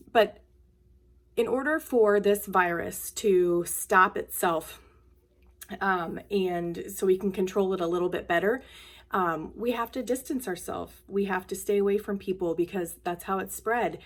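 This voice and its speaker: A woman with a mid-pitched voice speaks to children in a casual, simple way. She sounds like a school nurse hosting story time, using plain phrasing made for young listeners. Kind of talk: casual talk to children and read-aloud storytelling.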